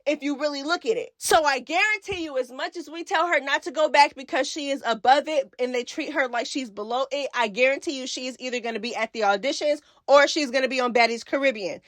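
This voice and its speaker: Sassy high-pitched woman vlogger. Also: quick pace, hardly any pauses, fairly bright tone, dry recording. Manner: sassy, slangy, emphatic repetition. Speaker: YouTube reality TV recap host. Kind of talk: casual opinionated commentary.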